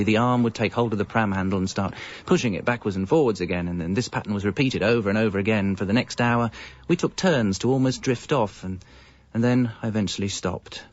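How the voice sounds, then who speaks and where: A man's voice: on the low side, quick pace, few pauses, lo-fi sound, natural voice. A British man acting as a weary, frustrated, put-upon father in a radio comedy, with wry, self-pitying delivery. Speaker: narrator and lead actor. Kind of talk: radio comedy monologue and dialogue.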